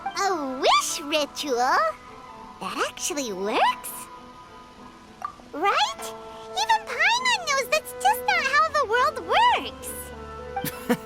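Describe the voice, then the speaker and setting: A woman voicing a tiny childlike fairy companion in a video game, curious, greedy and exclamatory, with a squeaky voice. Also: huge pitch swings, very slow, long pauses, full character voice, poor audio. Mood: amazed, doubtful. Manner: squeaky, exclamatory, refers to itself by name. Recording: loud music behind.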